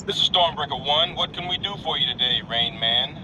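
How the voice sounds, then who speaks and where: A no-nonsense director played by a man with a slightly high voice and curt, official authority. It is video game acting, stern throughout, with engine rumble clearly behind. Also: fairly quick, lightly acted. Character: stern, no-nonsense military agency director over radio.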